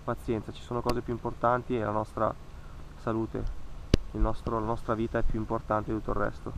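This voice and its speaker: A man's voice: fairly low pitch, monotone, fairly quick, creaky, background noise. A man in his thirties narrating a fishing vlog in an earnest, cautionary way, repeating words for emphasis. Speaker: fishing vlogger. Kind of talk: reflective voice-over narration. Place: outdoors by a lake among trees.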